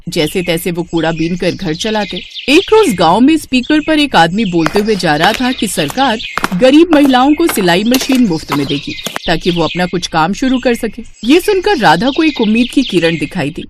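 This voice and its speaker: Expressive woman storyteller. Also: mid-range voice, slight background noise. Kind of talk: scripted moral story narration.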